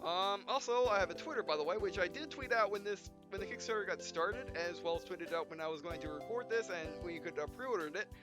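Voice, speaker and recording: A man's voice: sits high, fast talker, hardly any pauses. A man casually commenting on a game he is playing, rambling and often restarting his phrases. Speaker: let's play host. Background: game music.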